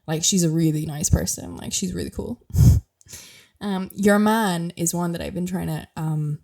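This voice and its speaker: A woman's voice: lowish voice, measured pace, gravelly, warm tone. A young Irish woman with a mild Irish accent hosting a podcast, chatting casually while sick with a cough and laughing often as she explains things. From Ireland. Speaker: podcast host.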